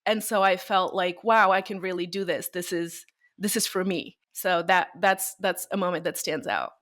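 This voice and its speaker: A woman's voice: lowish voice, fairly even intonation, normal speed, fairly bright tone. A woman from Bulgaria, a violinist, answering interview questions in a conversational, storytelling way and laughing often. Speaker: violinist guest.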